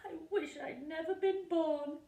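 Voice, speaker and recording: Storybook rocking horse, male, wistful and rhyming, played by a woman; high, unhurried, roomy sound. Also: warm tone. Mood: wistful, longing. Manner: sing-song rhyming delivery.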